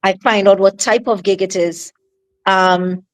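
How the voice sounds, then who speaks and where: Soft-spoken Trinidadian woman singer. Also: medium pitch, fairly even intonation. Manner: soft-spoken, halting with fillers and restarts. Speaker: singer guest. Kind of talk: casual interview over a video call.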